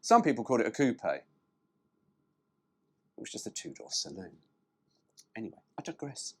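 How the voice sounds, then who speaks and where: A man's voice: lowish voice, big pitch swings, normal speed, slightly rough. A man reading a brochure aloud as a presenter, dropping in cheeky, irreverent, sweary asides.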